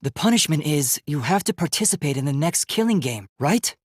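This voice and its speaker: K1-B0, earnest husky-voiced young robot. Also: male voice, a little high, lively intonation, fairly quick, no echo, clean studio sound. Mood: confused, startled. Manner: earnest, formal, emphatic delivery. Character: earnest, determined young male robot, idealistic and emotional. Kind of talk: dramatic video game voice acting.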